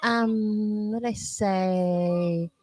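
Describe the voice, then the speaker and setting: A woman hosting a radio interview, chatty and given to repeating herself and quick asides. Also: mid-range voice, fairly even intonation, darkish tone. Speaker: radio host.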